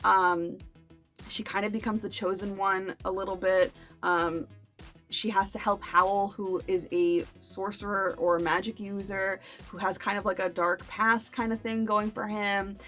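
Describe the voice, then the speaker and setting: A woman's voice: mid-range voice, level tone, unhurried, fairly bright tone, faint music behind. A woman chatting casually to camera as a book review vlogger, with playful, self-deprecating asides.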